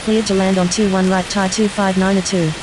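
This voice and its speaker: A woman's voice: medium pitch, brisk. A woman in the role of an airline pilot, making formulaic calls over aviation radio. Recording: steady radio hiss over voice.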